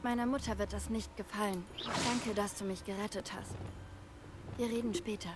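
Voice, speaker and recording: A woman's voice: medium pitch, steady tone, moderate pace, lightly acted. An actress playing a brave, determined schoolgirl witch in a video game. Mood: grateful, subdued. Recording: distant, with game ambience noise.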